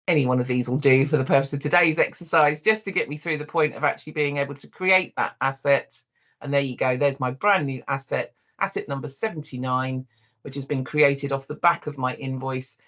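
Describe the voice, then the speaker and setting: A software demonstrator giving a live walkthrough, explaining step by step in an informal way and addressing viewers directly. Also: mid-range voice.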